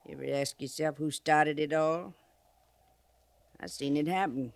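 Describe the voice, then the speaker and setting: A woman's voice: deep voice, steady tone, a little slow, creaky. An actress playing a canny, sardonic old frontier trader woman with a rustic American accent, folksy and blunt, in a 1950s radio western. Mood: somber. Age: older. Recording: noisy vintage 1950s radio recording.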